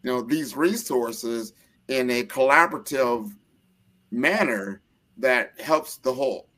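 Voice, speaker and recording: A man's voice: medium pitch, takes it slowly, fairly bright tone. An American man hosting a casual interview, talking earnestly and rambling with frequent restarts. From United States.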